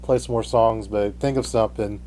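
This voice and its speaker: A man hosting an unscripted radio debate, speaking haltingly and often restarting his phrases. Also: on the low side, steady tone, warm tone, a little hiss or hum. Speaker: radio show host.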